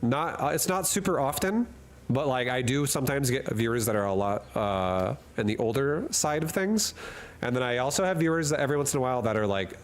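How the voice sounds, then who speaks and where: A man's voice: medium pitch, lively intonation, fairly quick. Male game streamer, mid voice, rambling casual banter with chat, desk mic with slight background noise. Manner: rambling and jokey, reads chat aloud and laughs often. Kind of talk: casual live-stream chat while gaming. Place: hot room at home.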